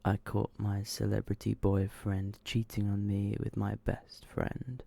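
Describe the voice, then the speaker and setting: A man reading short horror stories in a soft, breathy, hushed ASMR style, often slipping toward a whisper. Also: lowish voice, monotone, dry recording. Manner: slow, hushed, subdued delivery. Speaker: ASMR storyteller.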